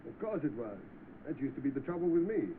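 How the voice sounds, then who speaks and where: An actor playing an understanding gentleman employer in an old film, brisk and reassuring. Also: medium pitch, very animated, natural voice. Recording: tinny, noisy old film soundtrack.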